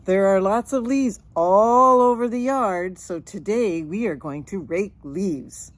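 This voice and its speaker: A woman's voice: mid-range voice, takes it slowly, dry recording, natural voice. A woman voicing a busy father in a children's picture book, emphatic and given to instructing.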